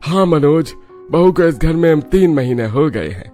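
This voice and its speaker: A man's voice: fairly high pitch, lively intonation, moderate pace, warm tone, faint music behind, natural voice. A man voicing an affectionate, proud elderly father-in-law in a cartoon story. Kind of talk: Hindi moral cartoon story dialogue.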